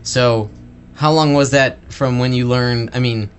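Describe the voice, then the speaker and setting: A man hosting a podcast interview, asking curious, loose questions in quick bursts, with many fillers. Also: medium pitch, steady tone, a little hiss or hum. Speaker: podcast host. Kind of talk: casual interview talk.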